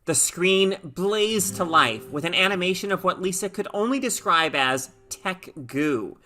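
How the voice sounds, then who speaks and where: A woman reading a short story aloud as an expressive, dramatic narrator. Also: fairly low pitch, unhurried. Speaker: story reader.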